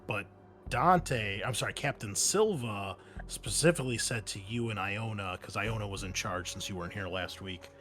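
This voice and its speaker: Low-voiced man running a tabletop game. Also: normal speed, a bit bright, soft background music. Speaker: game master. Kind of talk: narrating and refereeing a tabletop roleplaying game.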